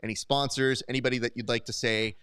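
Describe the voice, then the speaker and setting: A man's voice: medium pitch, fairly even intonation, fast talker, fairly bright tone, clear, resonant tone, dry recording. A man hosting a casual post-show chat, joking, teasing and rambling as he runs the segment. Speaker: show host.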